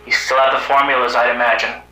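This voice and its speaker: A man playing a weary, resolute hero in an amateur analog horror cartoon, speaking solemnly and deliberately. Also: medium pitch, flat delivery, pushed and bright, echoey room, low-quality recording. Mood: somber, resolute. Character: weary, resolute heroic fighter from another Earth, male.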